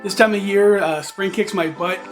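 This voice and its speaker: A man in his forties, hoarse and raspy from allergies, hosting a casual vlog and rambling with many fillers and restarts. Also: high-pitched, fairly even intonation, normal speed, soft background music. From United States. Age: 40s. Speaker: reptile channel host.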